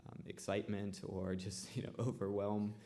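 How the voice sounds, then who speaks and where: A young man in his early twenties asks a question as a student in the audience. His delivery is monotone and very deep, with vocal fry. He feels his way hesitantly through the informal phrasing. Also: moderate pace. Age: early 20s.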